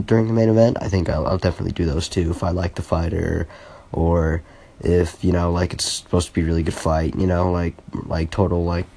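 A man's voice: low voice, gravelly, warm tone, slightly rough. A teenage boy hosting his first solo podcast, talking casually and hesitantly with many fillers and restarts, earnest and a little self-conscious. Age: teens. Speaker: podcast host.

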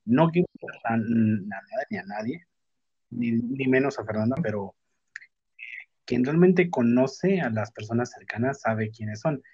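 Husky Mexican man in an echoey room. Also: medium pitch, animated, a little mellow. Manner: frank, slangy, halting and self-interrupting. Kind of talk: casual chat. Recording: muffled lo-fi audio in echoey room.

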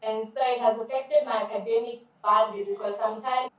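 A woman from Zambia speaking as a caller over a video call, giving soft, brief answers. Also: a little high, steady tone, fairly quick, muffled recording. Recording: distant echoey video call audio.

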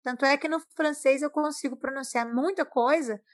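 A woman's voice: sits high, moderate pace, dry recording, studio quality. A Brazilian woman in a casual conversation, chatty and explaining things through examples. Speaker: talk participant. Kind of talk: casual chat.

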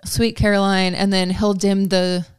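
A woman's voice: medium pitch, little pitch movement, measured pace, clean tone, dry recording, studio quality. A young woman from Georgia with no country accent, chatting casually on a podcast with lots of ums and likes. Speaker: podcast guest. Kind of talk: casual chat.

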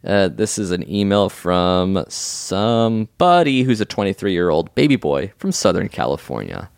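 Gravelly low-voiced man teasing his co-host. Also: lively intonation, measured pace, dry recording, clean studio sound. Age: 30s. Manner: teasing, laughs often, mock-lecturing. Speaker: podcast co-host. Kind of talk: casual comedic chat, reading listener email.

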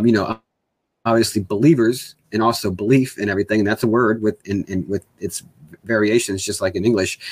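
A man's voice: lowish voice, breathy edge. A man in casual conversation, speaking hesitantly with many fillers and restarts.

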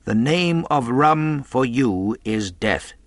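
A man's voice: mid-range voice, expressive, slow pace, dry recording, pitched above the performer's own voice. A man doing a stern, blunt doctor. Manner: firm, warning. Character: stern male country doctor, firm and blunt.

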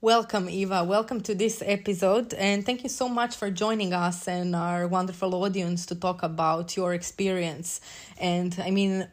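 A woman from Croatia hosting a podcast, friendly and quick to laugh as she interviews her guest. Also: lowish voice, fairly even intonation. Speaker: podcast host.

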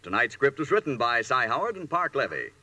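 A man's voice: medium pitch, big pitch swings, a little fast, sharp tone. A man announcing in 1940s radio style, with a polished, salesmanlike delivery.

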